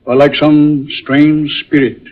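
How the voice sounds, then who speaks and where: A man's voice: on the high side, little pitch movement, takes it slowly. Agitated, superstitious father, muffled vintage sound. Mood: ominous, awed. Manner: theatrical, agitated, ominous. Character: irritable, superstitious older father, a movie director. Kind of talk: 1940s radio drama acting. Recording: dull vintage radio recording.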